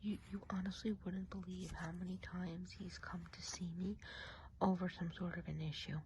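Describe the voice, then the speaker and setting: A woman playing a kindly, gossipy witch healer of the woods in a soft, breathy whisper, chatty and soothing, for an ASMR roleplay. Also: lowish voice, flat delivery, normal speed, slight background noise, close to an everyday voice, rough recording. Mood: confiding gossip.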